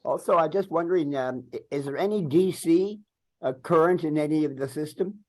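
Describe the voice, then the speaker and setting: A man's voice: a little high, fairly quick, a little mellow. Polite man asking hesitant questions. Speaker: audience member asking questions. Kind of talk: question and answer.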